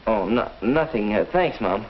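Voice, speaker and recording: Actor as an earnest student, muffled old film. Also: male voice, on the low side, expressive, moderate pace, close to an everyday voice. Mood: thoughtful. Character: earnest, polite male student, eager to improve. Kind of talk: 1950s educational film acting. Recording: dull old film soundtrack, faint noise.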